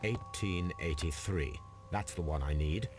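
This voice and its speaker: A man playing a composed, analytical middle-aged Victorian detective in a video game, speaking formally and deliberately with a British accent. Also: sits low, over music. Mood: pensive. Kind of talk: video game dialogue and musing aloud.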